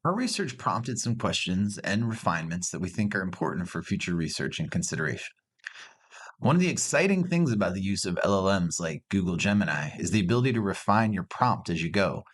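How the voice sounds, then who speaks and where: A man giving a recorded class project presentation in a conversational way, with dry asides. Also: low voice. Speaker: graduate student presenter.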